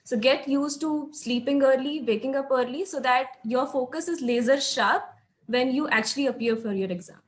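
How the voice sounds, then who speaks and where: A woman's voice: high-pitched, normal speed. A woman in her twenties with an Indian accent, giving conversational advice as a student panelist. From Nashik, India. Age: 20s.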